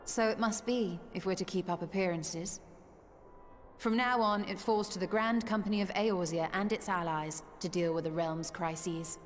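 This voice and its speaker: A poised, serious female sage from a fantasy game played by a woman. Her lowish voice is formal and measured, carried in long reverb over music. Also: lightly acted. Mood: resigned, composed. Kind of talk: video game cutscene acting.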